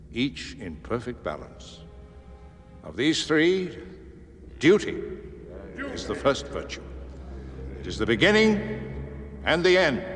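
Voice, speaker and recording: An actor playing an imperious warrior commander in a video game, delivering a grandiose, deliberate speech to cadets. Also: male voice, fairly low pitch, a bit bright, in a large hall, heavily acted. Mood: stern, fervent. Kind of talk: dramatic game cutscene monologue.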